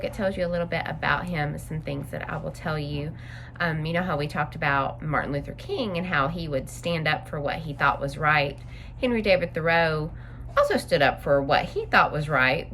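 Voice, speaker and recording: Female story-time reader for kids: lowish voice, very animated intonation, explains things simply, noisy home-style recording. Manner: simple, explanatory, addressing young listeners. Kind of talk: read-aloud storytelling with explanatory asides for children.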